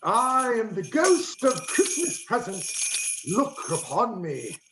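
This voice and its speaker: High-pitched, booming jolly giant spirit. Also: male voice, huge pitch swings, slow, exaggerated voice. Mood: grand, genial. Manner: declamatory, commanding. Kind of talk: radio play acting. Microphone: video call. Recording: echoey, noisy video-call audio.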